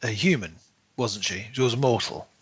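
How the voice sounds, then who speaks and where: Bright-voiced man in casual podcast chat. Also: medium pitch, moderate pace, slightly rough, dry recording. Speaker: podcast co-host. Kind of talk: casual book discussion chat.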